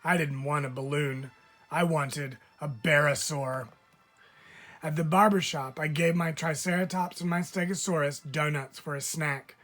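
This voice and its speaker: Teacher guy reading a kids' book out loud, animated, soft music behind. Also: a little high, unhurried. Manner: expressive, lively storytelling. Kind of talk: picture book read-aloud.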